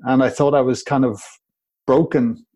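A man's voice: mid-range voice, steady tone, moderate pace, mellow. A Welsh man in his forties, a guest on a podcast over a video call, reflective and rambling with many restarts. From Wales. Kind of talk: podcast interview.

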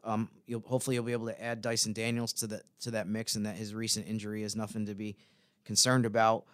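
A man co-hosting a sports podcast, talking through analysis in a casual, wordy, measured way. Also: fairly low pitch, monotone, clear, resonant tone, dry recording, clean studio sound.

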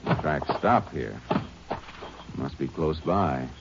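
A man's voice: rumbling low, expressive, gravelly, full character voice. A man playing a stern, terse Texas Ranger in an old radio drama. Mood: firm. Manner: terse, firm, measured. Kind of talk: 1950s radio acting. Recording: dull old radio recording with hiss.

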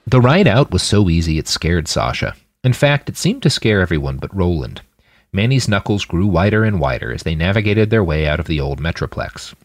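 Man, low-pitched voice, lively varied intonation, dry close sound, scripted fiction narration. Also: a little fast, no room sound. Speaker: author reading his fiction podcast.

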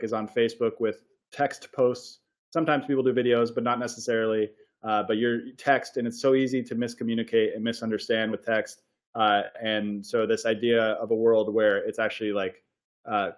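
A man hosting a casual podcast interview, rambling and restarting as he works toward his questions. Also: medium pitch. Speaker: podcast host.

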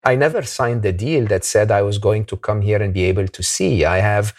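A man's voice: on the low side, fairly quick. A man hosting a podcast interview. He chats casually, making his points with emphatic, enthusiastic remarks and informal asides. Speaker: podcast host.

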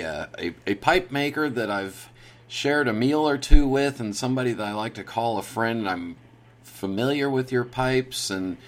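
A man hosting a podcast and interviewing a guest in a casual, friendly manner. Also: mid-range voice. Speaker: podcast host.

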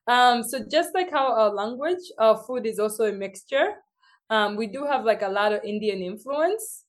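A young woman with a Tanzanian accent, a guest in a casual interview, telling stories in a lively way and acting out what others said to her. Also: on the high side, moderate pace.

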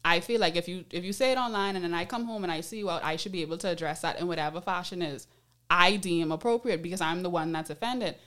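A woman with a Bahamian accent hosting a podcast, chatting casually and telling stories candidly in slangy, animated phrasing. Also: fairly low pitch, fast talker. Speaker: podcast host.

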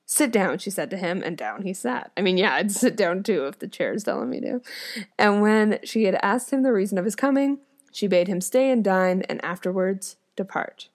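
A woman actor and comedian reads an old fairy tale and keeps stopping to make amused, wry comments. Her voice sits in a middle pitch, and her tone is chatty and casual between the storybook passages. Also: lively intonation, normal speed. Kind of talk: storytelling reading with joking asides.

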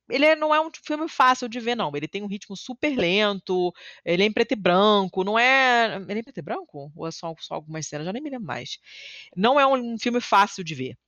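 Woman chatting, lively and swearing a bit, dry close sound, pitch jumps way up when she gets excited. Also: no echo, muffled recording. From Rio de Janeiro, Brazil. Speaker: podcast guest living in Italy. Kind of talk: casual conversation.

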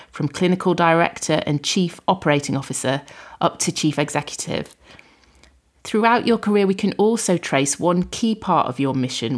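A woman hosting a health podcast, reading a polished introduction and asking measured, polite questions. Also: deep voice. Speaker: podcast host. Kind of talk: scripted podcast introduction and interview.

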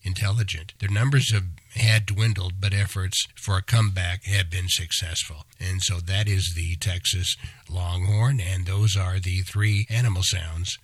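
Low, husky man hosting a podcast. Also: fairly even intonation, measured pace, dry recording. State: scratchy, hoarse voice. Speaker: podcast host. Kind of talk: educational podcast talk for kids.

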